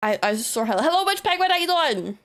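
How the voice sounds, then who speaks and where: A woman's voice: sits high, big pitch swings, fast, a bit bright. Chatty woman with a Kiwi accent. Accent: New Zealand. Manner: rambling, chatty, self-deprecating, swears casually. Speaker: streamer. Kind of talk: casual live-stream chat while building models.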